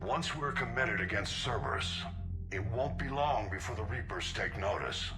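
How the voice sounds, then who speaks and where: An actor playing a senior military fleet commander in a video game, grave and measured, with an authoritative delivery. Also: male voice, fairly low pitch, sing-song, over music. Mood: grave, determined. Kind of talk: video game character dialogue.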